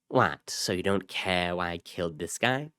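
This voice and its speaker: A darkly flippant psychopath, acted out by a male narrator, speaking low and deadpan. He is apathetic and taunting, a smirking villain from an audio story. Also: normal speed, smooth, no room sound, clean studio sound. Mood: smug.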